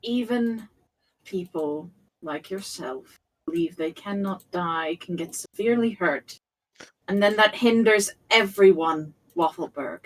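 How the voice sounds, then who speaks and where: A woman's voice: mid-range voice, a little slow, low-quality recording. A woman playing a tabletop game, pleading and exasperated with her party. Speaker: player.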